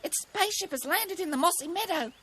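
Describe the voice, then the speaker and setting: A woman's voice: very high voice, animated, a little fast, a bit bright, a little hiss or hum, pitched above the performer's own voice. A woman voicing a frightened, shuddering little kookaburra in a children's story. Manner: fearful, shuddering. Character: Cookie, frightened kookaburra, jittery small bird.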